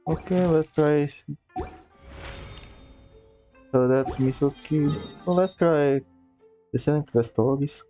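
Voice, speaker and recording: A man's voice: fairly high pitch, slow, pauses often, warm tone. A man giving casual gameplay commentary, thinking aloud half to himself as he plays. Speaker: gameplay streamer. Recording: dull, lo-fi recording. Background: game music.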